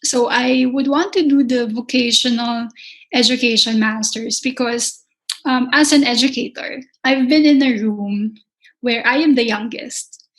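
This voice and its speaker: A young woman with a Filipino accent, a culinary instructor answering interview questions in a careful, halting way with frequent restarts. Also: a little high, rough recording. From Philippines.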